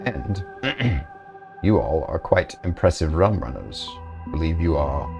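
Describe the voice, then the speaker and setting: A man voicing a formal male dragonkin corporate chief with a put-on Eastern European accent, speaking slowly and in a measured way. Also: rumbling low, sing-song, gravelly, music in the background, heavily acted.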